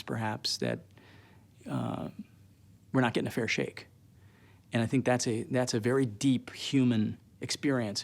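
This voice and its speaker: Male interviewee, gravelly, mid-pitched, measured and reflective, pauses within sentences. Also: darkish tone.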